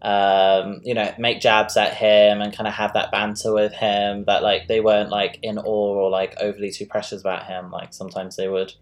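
A woman's voice: extremely low pitch, little pitch movement, moderate pace, few pauses. A woman hosting a casual live-stream chat, talking loosely with lots of fillers. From London. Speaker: host.

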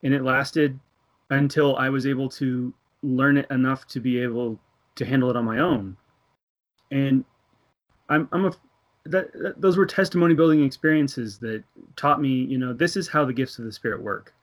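A man's voice: mid-range voice, moderate pace. A man in his thirties from the United States, earnestly telling a personal faith story in casual conversation, laughing now and then and acting out the voices of the people in it. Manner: earnest storytelling, laughs between thoughts, acts out dialogue. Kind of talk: casual religious discussion.

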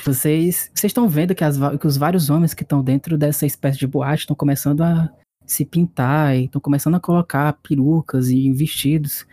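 A man narrating as game master of a tabletop horror-comedy game, slow and halting with restarts. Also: fairly high pitch, fairly even intonation, warm tone, no echo.

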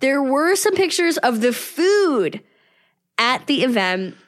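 A woman's voice: high-pitched, big pitch swings, takes it slowly. A woman hosting a casual, gossipy recap podcast in a mocking way, peppering her talk with "like". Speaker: podcast host.

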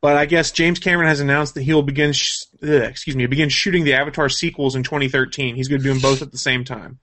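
A man with a middle-pitched voice chats casually as a show co-host. He talks in a geeky, explanatory way, unscripted and conversational. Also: steady tone, fast, fairly bright tone. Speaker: co-host of a gaming and comics news show.

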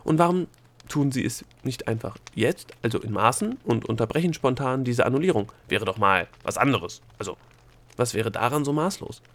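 A man voicing an exasperated gnome in a storybook, loud and emphatic as he pleads and persuades. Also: mid-range voice, animated, normal speed, lightly acted. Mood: goading. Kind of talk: storybook character dialogue.

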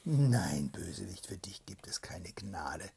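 A man reading a fairy tale aloud and voicing a stern young sorceress princess, mostly whispered, breaking into loud sharp commands. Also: mid-range voice, animated, unhurried, creaky, husky, heavily acted. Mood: commanding.

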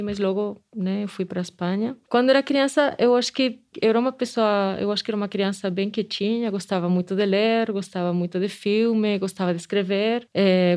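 A woman's voice: medium pitch, darkish tone. Middle-aged woman professor, Spanish accent, reflective, restarts her phrases often. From Spain. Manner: thoughtful, many restarts and tag questions. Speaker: anthropology professor. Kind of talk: reflective interview answers.

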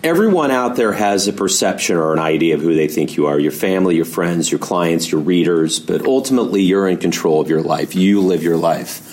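Deep-voiced guy hosting a podcast, asks long winding questions. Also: lively intonation, a little fast, few pauses, a little mellow. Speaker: interview host.

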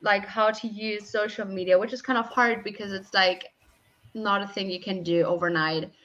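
A young woman speaks in a very bright, forward voice at a middle pitch. She gives practical advice conversationally, with some hesitant phrasing. The recording is a little dull and lo-fi. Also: steady tone, moderate pace. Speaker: podcast guest.

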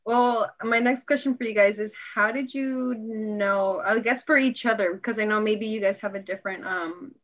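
A woman's voice: medium pitch, steady tone, a bit bright. Bubbly teasing woman host, lo-fi sound. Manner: bubbly, teasing, quick to laugh. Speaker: podcast host. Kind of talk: casual podcast hosting.

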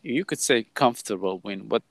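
A man in his thirties from Croatia with a Croatian accent, hosting a casual podcast chat in halting English with frequent restarts. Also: lowish voice, expressive, creaky, no room sound. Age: 30s. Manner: halting, many restarts and fillers. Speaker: podcast host.

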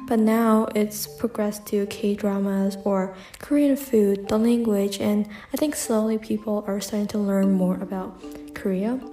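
A woman's voice: mid-range voice, steady tone, unhurried, warm tone. A young American woman hosting a personal solo podcast, speaking reflectively with frequent "like" and "um" fillers. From United States. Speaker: podcast host. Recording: echoey room with faint music.

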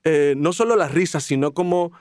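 A man talks in a fairly high, smooth and clear voice. He muses in a reflective way, and the recording is dry and close. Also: lively intonation, moderate pace, darkish tone, no echo. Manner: reflective, thoughtful with many restarts. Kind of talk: casual podcast conversation.

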